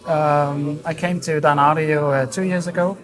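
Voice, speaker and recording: A man explaining his work as a design director in an interview, in a halting, thoughtful way. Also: a little high, fairly even intonation. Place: busy hi-fi trade show hall. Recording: crowd chatter around the voice. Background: crowd chatter.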